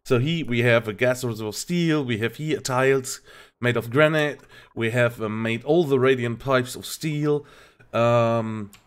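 A man's voice: mid-range voice, a little slow, clear, resonant tone. A man with a German accent, a native German speaker, hesitantly explaining a game in a casual tutorial, searching for words. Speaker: game streamer, tutorial host. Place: indoor home streaming setup.

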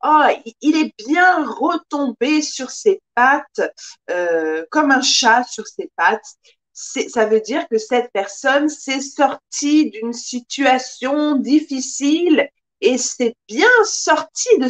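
A woman in her thirties teaching a live-streamed French lesson, speaking carefully and expressively, recorded by a webcam in a small room. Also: high voice. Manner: slow, careful, expressive teacher with lots of hand gestures. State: occasional coughing from pollen allergies.

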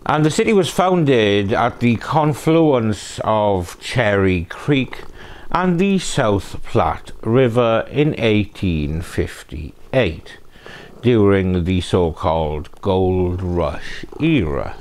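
A man's voice: fairly low pitch, sing-song, extremely slow, a little mellow. A middle-aged man hosting a true crime show, narrating from a script phrase by phrase, with playful asides. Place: living room sofa, indoors.

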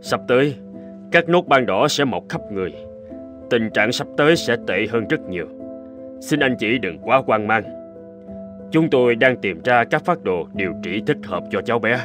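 A man voicing a calm, reassuring doctor in a cartoon, speaking in a measured, formal way. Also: mid-range voice, over music, close to an everyday voice. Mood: grave. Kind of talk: animated cartoon dubbing.

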